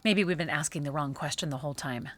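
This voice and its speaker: An actress playing a sharp, skeptical saleswoman in a theatrical audio musical, dismissive and challenging in manner. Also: deep voice, fast, slight background noise. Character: sharp, skeptical saleswoman sparring with a marketing colleague. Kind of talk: theatrical musical acting, spoken and sung.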